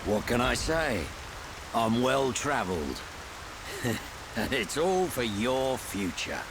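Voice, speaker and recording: A gruff old adventurer, wry and boastful like a seasoned mentor. His pitch sits mid-range for a man, and a clear rain-like noise runs behind him in this game cutscene. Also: sing-song, slow pace. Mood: wry, knowing. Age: older. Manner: wry, colloquial, teasing. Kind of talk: animated game cutscene dialogue.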